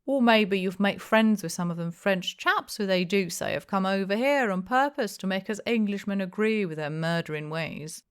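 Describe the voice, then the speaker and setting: A woman voicing a blustering, jovial, opinionated male innkeeper in an audiobook, with loud, emphatic, rustic speech. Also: mid-range voice, moderate pace, hardly any pauses, clear, resonant tone, pitched above the performer's own voice, natural voice. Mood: indignant, blustering.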